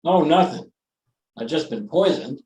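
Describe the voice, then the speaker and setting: A man playing a wry, groggy newspaperman in old-time radio style, with a creaky voice and dry, teasing understatement. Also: a little high, expressive, measured pace, darkish tone, roomy sound.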